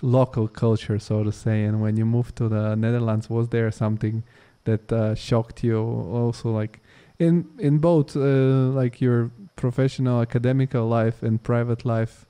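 A man's voice: medium pitch, fairly even intonation, moderate pace, few pauses, dark tone, clean tone. A man who grew up in Italy, hosting a podcast and asking long rambling questions full of fillers. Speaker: podcast host. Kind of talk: casual interview.

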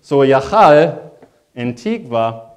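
A man's voice: mid-range voice, very animated, takes it slowly, a little mellow. A man with a German accent, a native German speaker, preaching a sermon as a pastor in a reflective, conversational way, repeating phrases for emphasis.

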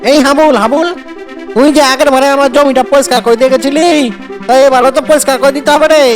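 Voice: high voice, fairly even intonation, quick pace, faint music behind, natural voice. A performer voicing a bossy village elder in a cartoon. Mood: brisk, coaxing. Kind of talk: cartoon dialogue.

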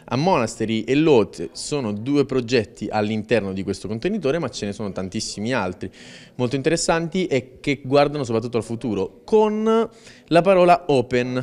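A man's voice: mid-range voice, darkish tone, clean tone. A man in his thirties answering interview questions enthusiastically into a handheld mic. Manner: enthusiastic, emphatic. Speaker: interviewee, community member.

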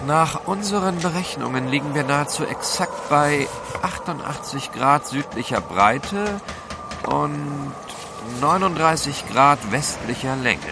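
An actor playing a resolute gentleman adventurer in a German audio drama, formal, polite and commanding. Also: fairly high pitch, animated, unhurried, background noise. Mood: determined.

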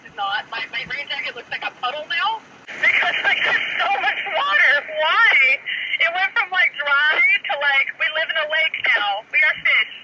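Goofy woman on a walkie, super high voice, noisy crackly radio. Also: sing-song, moderate pace. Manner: silly, playful. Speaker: travel companion on walkie-talkie. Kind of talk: playful chat. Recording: crackly walkie-talkie from far off.

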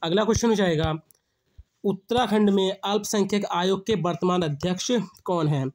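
A man's voice: high voice, moderate pace, a little mellow, a little hiss or hum. A young man with a sore throat explains quiz answers over slides, asking a question and then repeating the answer. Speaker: exam prep teacher. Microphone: screen-recording voice-over.

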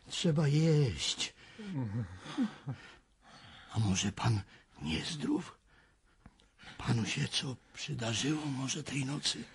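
An actor playing a superstitious old castle servant in a radio drama, with a raspy voice and folksy, deferential manner. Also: male voice, medium pitch, slight background noise. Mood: solicitous. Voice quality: gravelly, raspy.

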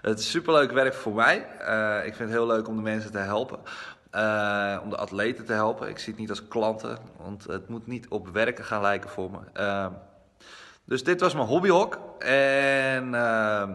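A man's voice: on the low side, moderate pace, pushed and bright. A young adult man with a Netherlandic Dutch accent, a personal trainer giving an informal, conversational vlog tour on a handheld phone. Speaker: personal trainer and gym owner. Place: small gym rooms indoors. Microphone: phone at arm's length. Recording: reverberant indoor phone recording.

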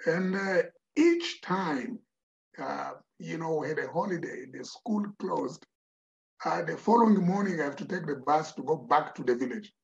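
A man's voice: on the high side, vocal fry. An older man from Mozambique, a Tsonga speaker, telling his story as a podcast guest, repeating words and saying "you know" often. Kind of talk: reflective storytelling in an interview. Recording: dull lo-fi audio.

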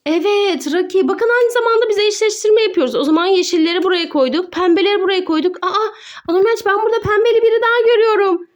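A woman's voice: very high voice, quick pace. A woman presenting toys in a playful way to viewers and her child, with exclamations and simple questions in child-directed talk. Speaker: mother presenting children's toy video with her son.

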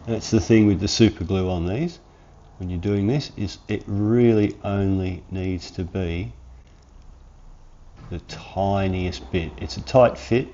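A man in his forties with a cold and sniffles, chatting through a hands-on workshop tutorial in a relaxed, rambling way from his home garage. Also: low voice, slow pace, lo-fi sound. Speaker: workshop channel host.

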